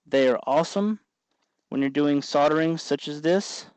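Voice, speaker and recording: Clear-voiced man giving a hands-on tutorial. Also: on the high side, normal speed, darkish tone, dry recording. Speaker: host of a DIY electronics tutorial.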